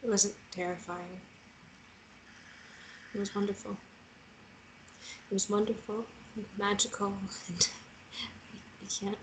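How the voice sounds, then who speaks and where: A woman's voice: medium pitch, steady tone, a little slow, long pauses, noisy background, close to an everyday voice. A woman playing a tender, heartbroken young woman in love, overwhelmed, in a table read of a romance drama. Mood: tearful, overwhelmed. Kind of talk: acted screenplay table read.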